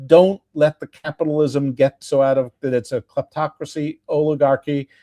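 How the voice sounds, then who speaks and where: A man's voice: on the high side, steady tone, moderate pace, mellow, dry recording, clean studio sound. A man from the United States hosting a podcast discussion in an articulate, discursive, reasoned way. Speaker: podcast host. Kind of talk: conversational discussion.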